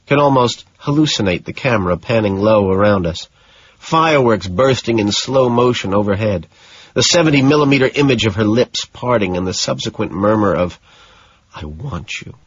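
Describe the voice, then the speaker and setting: A man's voice: lowish voice, brisk, slightly rough. A man narrating a novel in the first person as a scripted audiobook. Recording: dull, echoey room sound.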